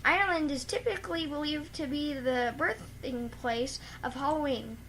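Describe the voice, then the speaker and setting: A woman's voice: sits high, normal speed, fairly bright tone, a little hiss or hum, rough recording. A woman co-hosting a radio show, reading out trivia and chatting casually.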